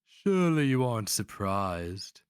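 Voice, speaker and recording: A man's voice: fairly low pitch, very animated, unhurried, clear, resonant tone, no echo, clean studio sound, voice pushed up. A man voicing a haughty, contemptuous monstrous sorcerer grand master in archaic, formal speech for a fantasy story. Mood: sly, contemptuous.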